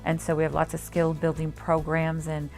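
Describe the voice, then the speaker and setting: A woman on staff at a health center, explaining its services in a measured way with frequent pauses, over soft music. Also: low voice, completely flat delivery. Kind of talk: promotional interview.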